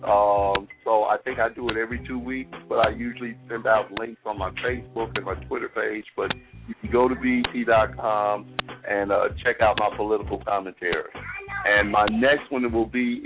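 A man calling in to a radio show, speaking haltingly with repeated words and many fillers. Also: on the low side, steady tone. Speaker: caller and political commentator. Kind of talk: call-in discussion. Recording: tinny telephone call with music.

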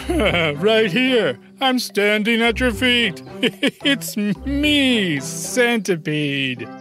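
A man's voice: sing-song, sharp tone, soft background music, exaggerated voice. A man voicing a tiny centipede who poses as an invincible warrior, in a pompous, declaiming storybook style. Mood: sheepish. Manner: pompous, slow booming declamation. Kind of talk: children's storybook character acting.

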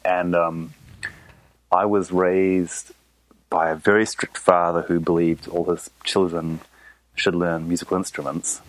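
A man from New Zealand, a musician guest, talking in a relaxed, easygoing manner. His voice is very deep. The sound is dry and close. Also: measured pace, no room sound, poor audio. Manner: easygoing, laughs often. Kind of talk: casual interview.

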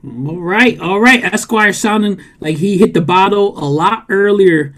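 A man's voice: sits high, measured pace, a bit bright. A man hosting a casual online podcast, laid-back and joking, with many fillers. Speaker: podcast host.

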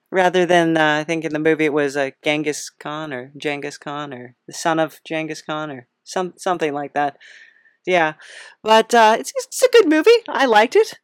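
Female podcast host, lowish pitch, dry sound, fumbling and bemused through an improvised comedy interview. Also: no echo. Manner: hesitant, halting, bemused, chuckling delivery.

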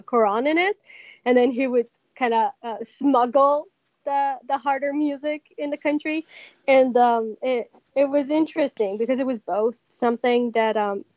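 A young woman from Iran talks slowly and thoughtfully, leaving pauses and fillers as she answers. Her voice sits a little high. The sound is dry and a bit muffled, like a remote call. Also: darkish tone, no echo. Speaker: lead vocalist of a metal band. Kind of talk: casual interview answers.

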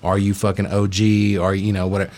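A man with a fairly low voice hosts a loose, casual chat. He rambles along bluntly and peppers his talk with heavy swearing. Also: level tone, a little fast, darkish tone. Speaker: podcast host.

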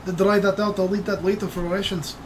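A game master voicing a gruff, blunt male dwarf traveler with an attempted Scottish brogue, jovial and bargaining. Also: mid-range voice, level tone, fairly quick, background noise, natural voice. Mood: friendly.

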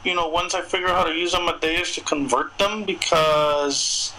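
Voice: mid-range voice, fairly even intonation, moderate pace, background noise. A co-host in a casual tech chat, talking in a laid-back, musing way.

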